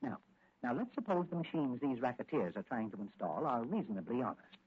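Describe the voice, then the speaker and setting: An actor playing a learned older doctor and advisor in a 1940s radio drama, explaining things in a deliberate, pedantic way with small hesitations. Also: male voice, medium pitch, big pitch swings, breathy edge. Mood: emphatic, precise. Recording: tinny, scratchy 1940s radio recording.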